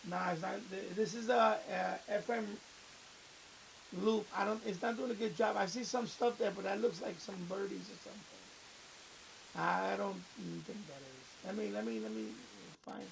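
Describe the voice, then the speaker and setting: High-pitched halting man, off the mic. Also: vocal fry. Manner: halting, repetitive muttering to himself. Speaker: live stream host. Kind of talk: casual live commentary while scanning radio bands. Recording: hissy, distant microphone.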